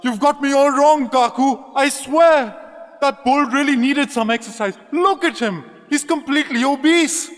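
High, whiny, pleading lion. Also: male voice, unhurried, fairly bright tone. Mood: whining excuses. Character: cornered pleading lion, whining and slippery, protesting innocence. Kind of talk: animated cartoon character acting. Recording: long echoing reverb.

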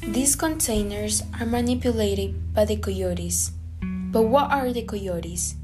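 Guatemalan-born student, reads evenly at a middle pitch over guitar music. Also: female voice, takes it slowly. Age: young adult. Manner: earnest, steady reading. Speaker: student podcaster. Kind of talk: scripted reading.

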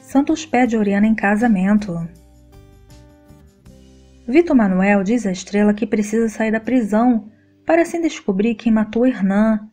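A woman narrating a scripted soap opera episode recap in a steady, even read-aloud delivery. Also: on the high side, normal speed, faint music behind.

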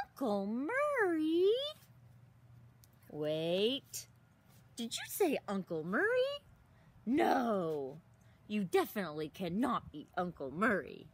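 A woman in her thirties reading a picture book aloud to young children outdoors, expressively, with exaggerated gasps and drawn-out words. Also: mid-range voice, huge pitch swings. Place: outdoors in a leafy garden. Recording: outdoor recording with some ambient noise.

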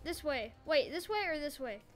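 A woman chatting excitedly with friends while playing a game together, giggling and breaking into loud outbursts. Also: high voice, moderate pace, soft background music. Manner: giggly, loud outbursts, shrieks when startled.

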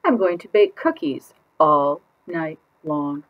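A woman voicing a stubborn, defiant little girl from a picture book, emphatic and drawn-out in a sing-song way. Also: low-pitched, expressive, lo-fi sound. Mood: gleeful, determined.

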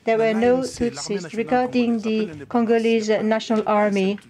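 A woman giving a live simultaneous interpretation of a conference speech, in halting, piecemeal phrases with repeated words. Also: fairly high pitch, flat delivery, mellow.

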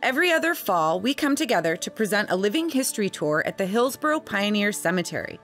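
A woman reads scripted voice-over for a local history series. Her mid-pitched voice rises and falls widely, with clear, careful enunciation. Faint music plays underneath. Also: sing-song, fast talker, fairly bright tone. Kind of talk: scripted narration.

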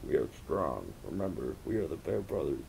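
A man voicing a steady, reassuring teenage brother in a gravelly voice, firm and unhurried. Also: rumbling low, slight background noise, pitched below the performer's own voice, exaggerated voice, poor audio. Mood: calm resolve.

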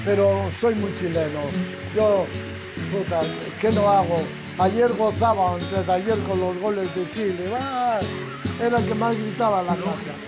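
A man's voice: sits high, steady tone, unhurried, low-quality recording. An older man from Spain, a restaurant chef chatting and joking while he cooks in his kitchen. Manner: jovial, joking, storytelling. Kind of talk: casual chat while cooking. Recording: loud background music.

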